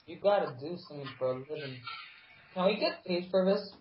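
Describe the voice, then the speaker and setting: A man's voice: a little high, lively intonation, a little fast, a little hiss or hum. A man joking and teasing his friends in a casual podcast chat. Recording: muffled lo-fi sound.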